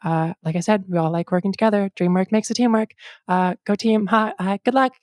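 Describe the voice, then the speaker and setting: A woman's voice: medium pitch, steady tone, a little fast, darkish tone, no echo, close to an everyday voice. A woman game master playing an awkward, earnest exam supervisor at a fantasy academy, stammering and timidly cheery. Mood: awkwardly upbeat.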